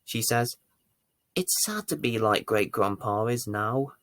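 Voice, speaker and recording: A man reading a bedtime story to young children as its presenter, with deliberate, theatrical emphasis. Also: on the low side, expressive, no echo. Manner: deliberate, theatrical emphasis with dramatic swells and hushes.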